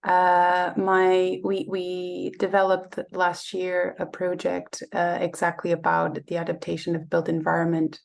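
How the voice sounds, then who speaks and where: A woman architect introducing herself on a video call, halting with many fillers. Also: lowish voice, completely flat delivery, gravelly. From Portugal. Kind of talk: webinar self-introduction.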